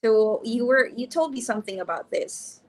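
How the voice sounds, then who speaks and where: Chatty woman co-hosting live. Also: fairly high pitch. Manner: chatty, restarts often, quick to laugh. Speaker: livestream co-host. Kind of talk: casual live co-hosting, reading artist bios.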